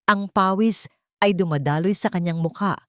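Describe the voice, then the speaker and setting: A woman's voice: mid-range voice, normal speed, no room sound, clean studio sound. A woman reading a scripted dramatic story with a solemn, sentimental delivery. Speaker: audio story narrator.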